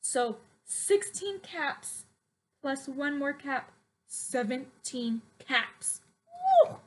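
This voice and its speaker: A woman teacher reading a picture book to young children in an expressive, sing-song, emphatic way and putting questions to them. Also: high voice, extremely slow, poor audio.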